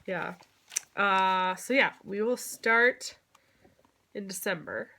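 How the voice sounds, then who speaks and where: A young woman with a stuffed-up nose from a cold talks casually and openly, with plenty of ums and the odd laugh. Her voice is bright and forward. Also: mid-range voice, slight background noise. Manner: chatty, many fillers, occasional laughs. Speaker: vlogger. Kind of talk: casual vlog explanation.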